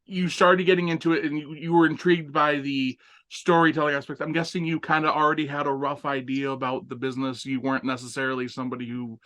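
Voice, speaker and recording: A man's voice: on the high side, little pitch movement, fast talker, few pauses, pushed and bright. Man asking brief interview questions. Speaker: podcast host.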